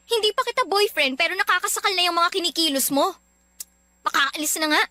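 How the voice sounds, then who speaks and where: An actress playing an irritated, dismissive college student in a radio love drama, curt and exasperated. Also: female voice, very high-pitched, lively intonation, fast, sharp tone, heavily acted. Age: young adult. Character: irritated, dismissive young female college student rejecting suitor.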